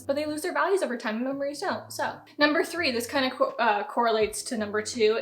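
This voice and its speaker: Young woman, late twenties, chatty upbeat vlogger, slightly high voice. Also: a bit bright. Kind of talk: casual chat to camera. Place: home, sitting down.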